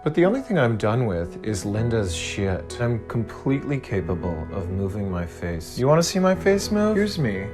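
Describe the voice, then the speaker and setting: A man voicing a vain woman who insists she has had no cosmetic work, talking flat, defensive and profane. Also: on the low side, moderate pace, hardly any pauses. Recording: music playing under the voice.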